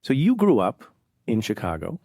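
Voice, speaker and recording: Low gravelly male podcast host. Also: wildly swooping pitch, moderate pace, a little mellow, no room sound, studio quality. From India. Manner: joking, teasing questions. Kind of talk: interview.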